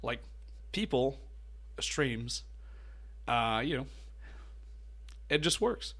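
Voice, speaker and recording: A man with a mellow, middle-pitched voice talks loosely and chattily. He starts and restarts his thoughts and leans on fillers, like a hobby host talking to camera while he paints. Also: expressive, extremely slow. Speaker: miniature painting channel host. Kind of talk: casual talking-head hobby tips.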